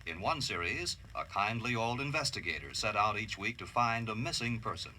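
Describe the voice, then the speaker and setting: Bright, deep-voiced American man narrating. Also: lively intonation, a little hiss or hum. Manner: dramatic, measured, formal delivery. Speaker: documentary narrator. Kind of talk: scripted narration, radio history documentary.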